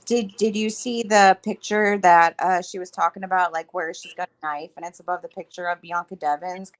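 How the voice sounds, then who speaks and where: A woman co-hosting a casual livestream chat, her voice a little raspy, talking easily with fillers. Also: fairly low pitch, fairly even intonation, moderate pace. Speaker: co-host.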